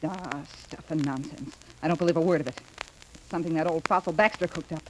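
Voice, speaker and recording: An actress playing an imperious, sharp-tongued young scheming wife in 1930s radio melodrama, demanding and indignant. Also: female voice, low-pitched, fast, natural voice. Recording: noisy, crackly 1930s radio recording.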